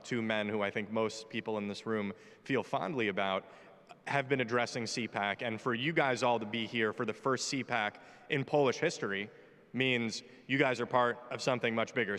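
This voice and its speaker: A man's voice: mid-range voice, fairly bright tone. A man in his twenties speaking on a conference panel in a brash, joking, opinionated way. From United States. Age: 20s. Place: large conference hall stage. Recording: reverberant hall.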